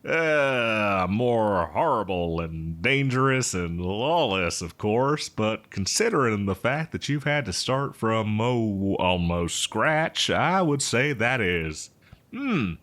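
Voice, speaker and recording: A man's voice: lowish voice, sing-song, a bit bright, full character voice. A man playing an eccentric, cheerful botanist who survived from before the apocalypse, rambling hesitantly in a folksy roleplay monologue. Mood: impressed, amused. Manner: hesitant, rambling, folksy, with chuckles and drawn-out words. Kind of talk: roleplay audio drama monologue addressed to listener.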